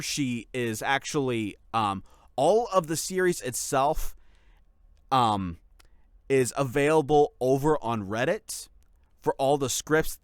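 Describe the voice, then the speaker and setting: A man's voice: medium pitch, smooth, no echo, studio quality. A man speaking casually as a channel host, giving a rambling, explanatory update to followers. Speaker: ASMR voice actor and channel host.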